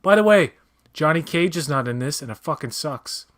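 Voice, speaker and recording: A man's voice: fairly high pitch, expressive, moderate pace, a bit bright. A man chatting casually during a movie watch-along, blunt and opinionated, swearing freely. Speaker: guest co-watcher.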